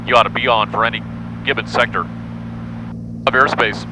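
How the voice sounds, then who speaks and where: A man with an American accent, a pilot and flight instructor, explaining casually with hesitant pauses. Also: medium pitch, fairly even intonation. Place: small aircraft cockpit in flight. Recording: loud aircraft engine hum. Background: engine drone.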